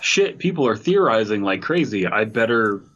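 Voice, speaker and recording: A man's voice: on the low side, very animated, normal speed, fairly bright tone, slightly rough, muffled recording. A man co-hosting a podcast in casual discussion, sardonic and prone to acting out imagined quotes. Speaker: podcast co-host.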